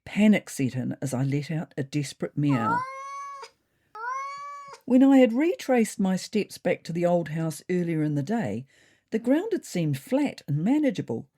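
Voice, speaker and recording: A woman narrating a scripted first-person story as an expressive, measured storyteller. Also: lowish voice.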